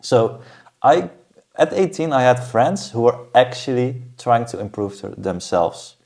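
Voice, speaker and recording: A man from Germany, a podcast guest, talking about his path in a steady, thoughtful way with frequent "uh" fillers. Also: medium pitch, measured pace, gravelly. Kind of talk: casual interview talk.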